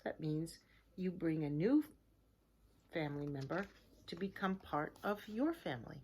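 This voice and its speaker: A woman reading a children's picture book aloud like a teacher, in a deliberate, expressive way, with hushed chatty asides to her listeners. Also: sits low, slight background noise. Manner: slow, expressive, simple deliberate phrasing.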